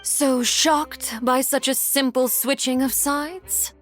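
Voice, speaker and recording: A woman playing an intimidating, coolly courteous harbinger in a game, with formal, deliberate, self-possessed delivery. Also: high-pitched, fairly even intonation, a bit bright, faint music behind. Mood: coolly amused. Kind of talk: anime-style game voice acting.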